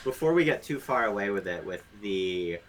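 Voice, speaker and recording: A man's voice: lowish voice, expressive, moderate pace, slight background noise. A man speaking as a game developer guest in a casual interview, explaining things in an analytical, detailed way.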